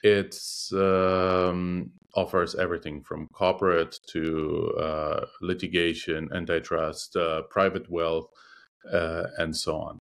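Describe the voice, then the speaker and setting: A man who is a native Russian speaker, a lawyer guest speaking in a measured, thoughtful interview manner with frequent hesitations. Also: deep voice, flat delivery, gravelly, fairly bright tone, clean tone. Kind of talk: conversational interview.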